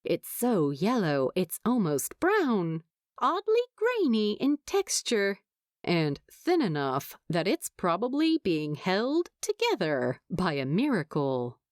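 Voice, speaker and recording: A woman narrating scripted fan fiction as an expressive storyteller. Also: mid-range voice, slow pace, dry recording, studio quality.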